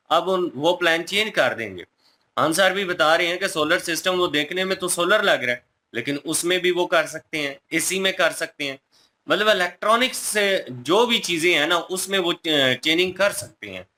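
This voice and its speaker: A man speaks as a co-host giving his opinions casually. His voice is fairly high and sharply bright. He explains his points with emphasis. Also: moderate pace. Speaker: reaction video co-host.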